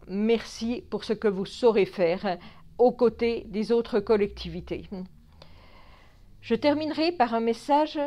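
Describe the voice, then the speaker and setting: A woman gives a formal prepared address as a politician, in a measured, courteous way. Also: a little high, darkish tone. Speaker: member of parliament addressing local mayors.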